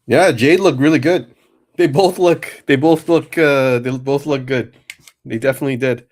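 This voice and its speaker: A man hosting a livestream card break, chatting casually, a little hoarse and nasal from a cold. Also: mid-range voice, normal speed. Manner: relaxed, chatty, many fillers.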